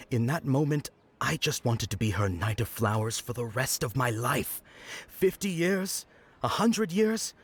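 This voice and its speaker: A man playing an earnest, bashful young festival worker in a video game, with a hesitant, expressive delivery. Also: medium pitch, slightly rough. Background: music.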